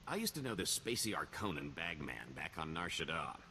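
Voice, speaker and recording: An actor playing a smooth-talking, self-assured con man in a video game, with wry, wheedling salesman patter. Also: rumbling low, very animated, a little fast, sharp tone, slight background noise, full character voice. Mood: sly, scheming. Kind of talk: video game dialogue acting.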